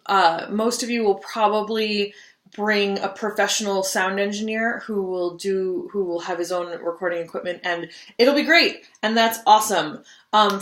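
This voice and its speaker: A woman's voice: mid-range voice, a bit bright, reverberant. A woman singer giving casual audition tips in a vlog, chatty with many ums and restarts. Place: home room, just moved abroad.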